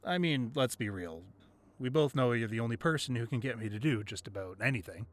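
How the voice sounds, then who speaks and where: A man playing a tough gang leader boyfriend who is teasing and tender in an intimate ASMR roleplay, with playful grumbling and soft, hesitant asides. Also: medium pitch. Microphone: close microphone, dry.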